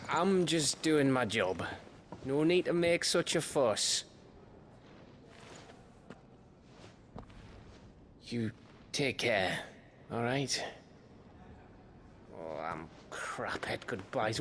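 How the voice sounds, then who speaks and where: A man playing a gruff, loyal scout in a video game, colloquial and grudgingly affectionate. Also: medium pitch, big pitch swings, slow pace, a bit bright, slight background noise. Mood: bashful, fond. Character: gruff, loyal working-class male scout, fond and awkward. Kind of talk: dramatic video game acting.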